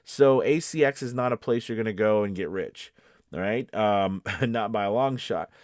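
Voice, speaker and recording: Male coach and host, deeper register, very dry recording, conversational explainer who checks in often. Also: normal speed, darkish tone, dry recording. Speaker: voice-over coach and video host. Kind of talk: informal instructional talk to camera.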